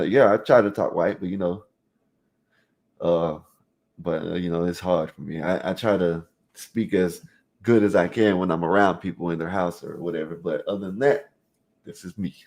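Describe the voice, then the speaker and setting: A man with a very low, deep voice hosts a casual call-in stream. He reads the chat aloud and talks straight to viewers in loose slang, rambling and restarting his sentences. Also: fairly even intonation, moderate pace. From Florida, US. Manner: loose street slang, frequent "you know what I mean" fillers. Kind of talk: casual chat reading out live chat.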